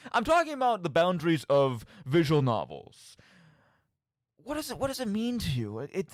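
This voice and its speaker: A man's voice: medium pitch, no echo, clean studio sound. A young man hosting a casual, rambling radio show in a playful, mock-dramatic, tongue-in-cheek manner. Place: radio studio. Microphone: studio microphone.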